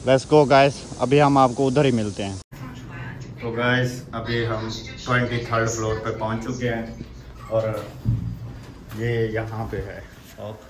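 A man's voice: mid-range voice, steady tone, slow pace, pauses often. Joking man vlogging on a noisy street. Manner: joking, chatty, addresses viewers as guys and bro. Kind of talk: casual travel vlog chat.

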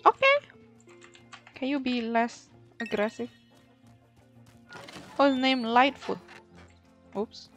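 A woman streams a game with casual commentary. She talks slowly in a fairly high voice, musing and exclaiming, over soft game music. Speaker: gaming streamer.

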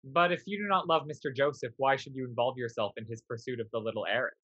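A man's voice: medium pitch, quick pace, bright, forceful tone, breathy edge, no echo. A young man acting a sly, obsequious schemer in a period stage comedy, deferential and insinuating, over a video call. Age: young adult. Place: indoor room, performing on video call. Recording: dull, lo-fi video-call audio.

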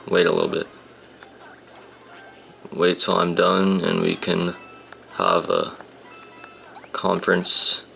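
A young man commentating a handheld game, casual and grumbling, his voice a bit rough today. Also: low-pitched, sharp tone. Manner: grumbling, sarcastic about the game. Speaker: gameplay commentator. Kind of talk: casual let's-play commentary. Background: game music.

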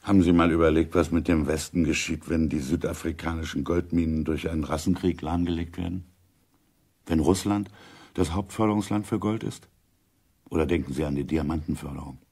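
An actor playing an urbane, authoritative intelligence chief in a German radio drama, speaking in a measured, deliberate way. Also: male voice, extremely low pitch, fairly even intonation, a little mellow, slightly rough, exaggerated voice. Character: senior intelligence chief, urbane, matter-of-fact, authoritative older man.

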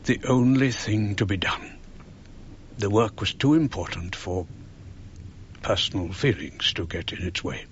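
An actor playing a regretful, reflective elderly man looking back in a radio drama monologue, with a husky, worn voice. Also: fairly low pitch, lively intonation, a little slow, muffled recording, slight background noise. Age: older.

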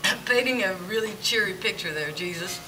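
A woman's voice: mid-range voice, expressive, fairly quick, natural voice. A woman in an amateur church stage play, playing a doubtful dinner guest who keeps questioning what she hears. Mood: skeptical. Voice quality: skeptical, questioning. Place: church hall stage, indoors. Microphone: far camera. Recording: distant camera with music behind.

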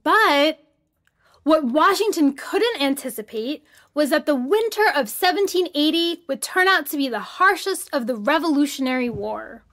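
High-pitched patient schoolteacher. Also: female voice, animated, normal speed, a bit bright. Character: patient schoolteacher giving a history lesson. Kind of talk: audio drama acting, lecturing.

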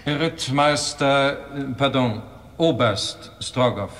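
An actor playing a dignified, commanding Russian Grand Duke in a German radio play, formal and authoritative. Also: male voice, mid-range voice, slow, fairly bright tone. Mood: stately. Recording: long hall reverb.

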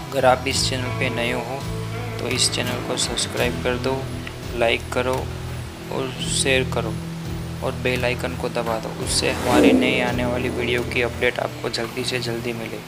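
Even-toned Indian man doing gaming commentary. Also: medium pitch, unhurried, vocal fry. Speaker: gaming channel host. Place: voice-over on game screen capture. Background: background music.

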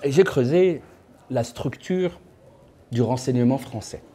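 A man's voice: medium pitch, measured pace, mellow, husky. A man in his thirties, seated in an indoor room and filmed from a distance, giving a talk as a guest speaker. He speaks conversationally and tosses joking asides to the audience. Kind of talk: lecture to a small audience.

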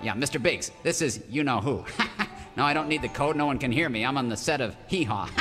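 An actor playing a scheming, exasperated, grumbling western villain in a parody of an old radio melodrama. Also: male voice, mid-range voice, a little fast, close to an everyday voice. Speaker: actor in comic radio serial. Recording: echoey stage hall with music.

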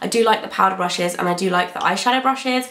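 A young British woman chatting through a beauty haul, her voice slightly croaky from a cold. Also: mid-range voice, quick pace, a bit bright, reverberant, a little hiss or hum. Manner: chatty, gushing about products. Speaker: beauty vlogger. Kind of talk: casual haul video chat. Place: bedroom filming set indoors.

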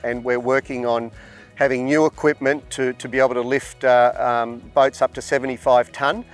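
A middle-aged man, general manager of a marina, answering questions outdoors in a polite, measured and slightly formal way. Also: medium pitch, flat delivery. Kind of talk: interview answers. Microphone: lapel mic. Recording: faint background music.

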